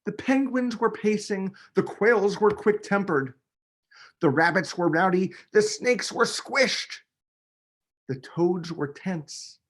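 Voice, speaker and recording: A man, a children's book author, reading a picture book aloud with expressive, dramatic emphasis. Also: high-pitched, slow pace. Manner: expressive, dramatic delivery with emphasis on key words.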